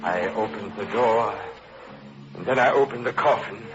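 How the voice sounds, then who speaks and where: An actor playing a haunted, obsessive middle-aged murderer in an old radio horror play, halting and breathless in delivery. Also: male voice, fairly low pitch, lightly acted. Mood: haunted, feverish. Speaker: first-person narrator and lead actor. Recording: muffled vintage radio recording, loud organ music.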